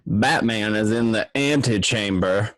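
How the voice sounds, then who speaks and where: Slick crooked lawyer played by a man, fast-talking, wheedling, dry close mic. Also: lowish voice, a bit bright, no echo. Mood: scheming. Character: slick lucky criminal lawyer, about forty, rotund. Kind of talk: amateur radio play reading.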